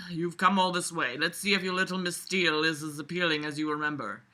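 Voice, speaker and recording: A woman hosts a read-aloud with a very bright, forceful voice. She moves between the text and her own casual commentary, which is sarcastic, exasperated and freely foul-mouthed. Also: fairly low pitch, very animated, normal speed. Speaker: host reading a novel aloud with commentary.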